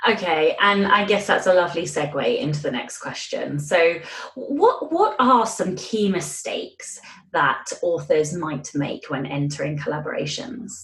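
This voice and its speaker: A woman's voice: fairly low pitch, expressive, a little slow. A woman asking questions as the interviewer in a conversational podcast. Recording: roomy, echoey sound.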